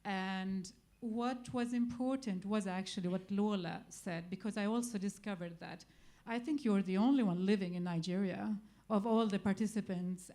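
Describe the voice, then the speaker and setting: A woman's voice: mid-range voice, warm tone. A middle-aged woman with an Arabic accent, speaking English as a third language at a festival talk. She speaks off the cuff, with frequent fillers and restarts. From Iraq. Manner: hesitant, many fillers and restarts. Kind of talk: spontaneous public talk.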